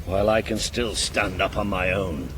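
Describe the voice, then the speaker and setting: An actor playing a resolute, terse, loyal soldier in a fantasy video game. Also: male voice, sits low, fairly even intonation, normal speed, background noise, natural voice. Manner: terse, commanding. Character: gruff, resolute soldier, loyal comrade in arms. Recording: music under game dialogue. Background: music.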